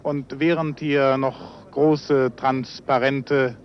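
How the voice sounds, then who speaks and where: A man's voice: mid-range voice, level tone, drawn-out words. A man reporting live on location for 1950s radio in speech. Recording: noisy dull 1953 outdoor radio recording.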